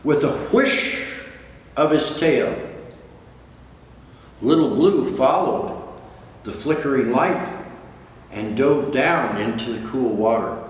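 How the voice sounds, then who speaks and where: An older man reading a picture book aloud, pausing to add explanatory asides for listeners. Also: medium pitch. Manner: expository, points out pictures to listeners. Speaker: guest storybook reader. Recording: distant, very echoey hall, faint noise.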